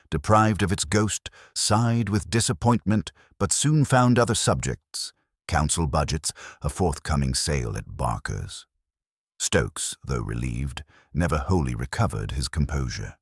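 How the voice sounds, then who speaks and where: A man's voice: extremely low pitch, husky, no room sound, studio quality. A man reading scripted mystery narration as a dramatic storyteller.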